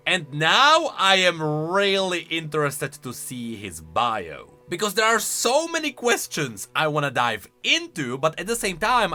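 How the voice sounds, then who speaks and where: A man giving casual, opinionated reaction commentary as a gaming lore commentator, in an animated manner. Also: a little high.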